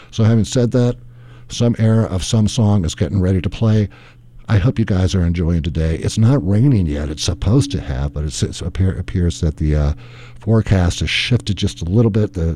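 A deep, mellow older man with a drawl, talking into a studio mic as a radio DJ. His voice runs a bit hoarse, and he chats in a loose, rambling way. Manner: rambling, chatty, easygoing storyteller. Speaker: radio DJ and show host. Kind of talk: casual radio patter between songs. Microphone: studio microphone.

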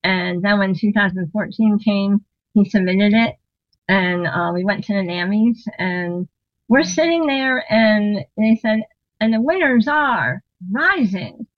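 A woman telling a long story in a casual interview, animated, acting out what people said and laughing often. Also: medium pitch, a little slow, dry recording, lo-fi sound. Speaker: interview guest, drummer and singer.

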